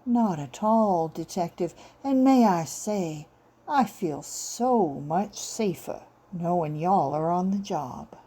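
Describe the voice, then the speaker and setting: A woman's voice: lowish voice, animated, mellow, slightly rough, exaggerated voice. Woman acting a honeyed, sultry news anchor. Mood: cool, sultry. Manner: honeyed, slow drawl.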